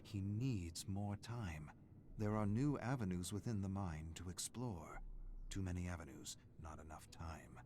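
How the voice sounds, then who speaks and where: Deep, brooding horror narrator. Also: male voice, measured pace, dark tone, a little hiss or hum. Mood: dark, deliberate. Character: brooding, menacing male narrator of a sadistic doctor's thoughts. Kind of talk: dark scripted horror story narration. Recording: music playing behind the voice.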